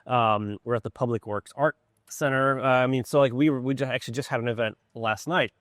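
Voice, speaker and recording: A man's voice: mid-range voice, fairly even intonation, a little fast, a bit bright, no echo, studio quality. A man from Poland speaking as an interview guest in a casual, enthusiastic and informal way about his work as a music director.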